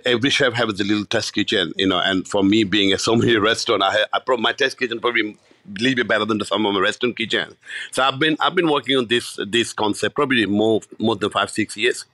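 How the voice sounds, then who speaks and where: A Bangladeshi chef in his fifties, telling his story in an interview, animated and full of restarts. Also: fairly low pitch, quick pace, no room sound. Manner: animated, many restarts and repeats.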